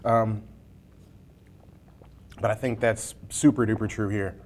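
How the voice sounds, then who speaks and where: A man's voice: on the low side, steady tone, slow pace, a little mellow. A man hosting a casual, jokey interview with informal, colloquial phrasing. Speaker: interview host, library information desk worker. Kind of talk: casual chat during a hot sauce challenge interview.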